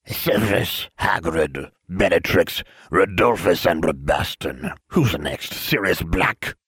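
A man voicing a grandiose, menacing dark lord in an audiobook, with slow, imperious delivery. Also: dry recording, studio quality, full character voice. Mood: incredulous, imperious. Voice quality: husky, very low voice. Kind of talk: audiobook character voice.

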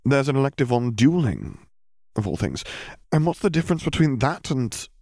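Storybook role: bright, ambitious young witch student, read by a man, earnest and questioning, higher register, dry sound. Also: very animated, moderate pace, no echo.

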